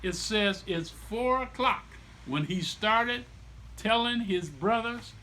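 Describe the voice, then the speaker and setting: A middle-aged man reading a book aloud like a storyteller, with emphatic, deliberate phrasing and explanatory asides to listeners. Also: sits high, lively intonation, sharp tone, a little hiss or hum. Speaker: author reading own children's book aloud.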